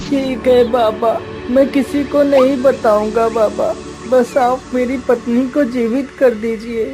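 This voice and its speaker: A woman's voice: on the high side, fairly even intonation, takes it slowly, music in the background. A woman voicing an earnest, pleading young prince in a cartoon tale.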